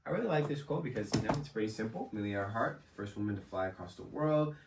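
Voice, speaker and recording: Deep slightly raspy young guy doing casual motivational vlog talk, kinda far from the mic, roomy echo. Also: animated, fast talker. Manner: conversational, encouraging. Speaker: host of a motivational video series. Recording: echoey room, distant microphone.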